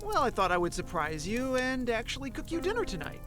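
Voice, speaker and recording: A man performs an ordinary, lovestruck young guy in an audio drama. His voice sits high and swings freely between playful and earnest, with music playing underneath. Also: wildly swooping pitch, brisk, exaggerated voice. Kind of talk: indie audio drama acting with first-person narration.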